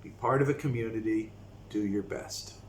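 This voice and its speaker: A man from the United States talking slowly and reflectively to his viewers in a casual vlog. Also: lowish voice, a little mellow. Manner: slow, reflective, with frequent pauses. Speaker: vlogger. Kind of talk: casual talk to camera. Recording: echoey room with faint noise.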